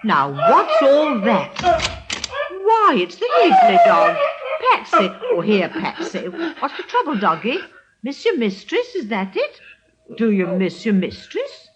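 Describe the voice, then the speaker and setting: A woman's voice: high-pitched, huge pitch swings, slow pace, dull audio, full character voice. A woman playing a chatty, soothing village innkeeper in an old, noisy radio drama recording. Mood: cooing concern.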